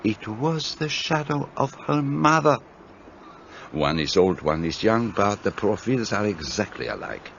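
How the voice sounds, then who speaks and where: A man's voice: fairly low pitch, very animated, measured pace, vocal fry, a bit bright, muffled recording, a little hiss or hum, full character voice. An actor playing a shrewd, fussy Belgian detective in a radio play, speaking precisely and courteously as he lays out his reasoning. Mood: explaining.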